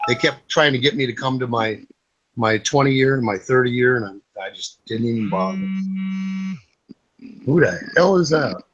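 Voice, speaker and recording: A man's voice: medium pitch, normal speed, long pauses, a bit bright, slightly rough, dull audio. A man in his fifties chatting casually on a group call, blunt, swearing freely and telling stories. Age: 50s. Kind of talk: casual late-night group chat. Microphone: online group video call.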